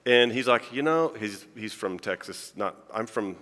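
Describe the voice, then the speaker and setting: A man's voice: on the low side, animated, normal speed, fairly bright tone. A male scientist giving a chatty, joking conference talk, often restarting his sentences. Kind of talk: informal conference lecture. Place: large church hall. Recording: long hall reverb.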